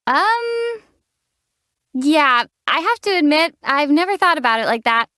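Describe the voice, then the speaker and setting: A woman voicing a young, level-headed traveler heroine in a video game, answering in a calm, gently wry way. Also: sits high, measured pace, no room sound, heavily acted. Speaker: game protagonist. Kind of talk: video game character dialogue. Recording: dry, close studio voice.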